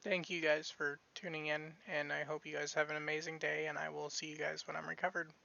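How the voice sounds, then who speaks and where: A man with a scratchy, gravelly voice chats to his viewers while recovering from surgery. He sounds drowsy and rambles, tripping over his words. The sound is muffled and lo-fi. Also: on the high side, little pitch movement, fairly quick, bright, forceful tone. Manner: rambling, with frequent restarts. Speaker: streamer giving a health update. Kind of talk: casual live-stream chat.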